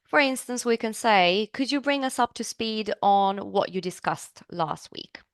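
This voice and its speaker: A woman's voice: medium pitch, animated, a little slow, no room sound, clean studio sound. A woman from Serbia, teaching business English in a live online class, upbeat and chatty, asking the class questions.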